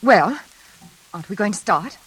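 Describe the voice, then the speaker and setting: A woman playing a nervous, frightened elevator passenger in a classic radio suspense drama, speaking in halting, pleading phrases. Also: mid-range voice, expressive, a bit bright, breathy edge. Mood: uneasy politeness. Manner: halting, anxious, pleading, repeating herself. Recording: hissy, noisy old radio recording.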